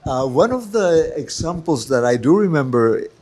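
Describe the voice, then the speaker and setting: A man's voice: on the high side, very animated, normal speed, darkish tone. Effusive man hosting an interview show. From El Salvador. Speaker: show host.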